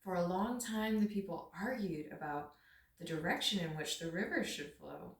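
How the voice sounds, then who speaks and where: A woman's voice: lowish voice, animated, normal speed. A woman giving a dramatic storybook reading aloud, recorded in an echoey room at some distance from the microphone. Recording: roomy echo, distant microphone.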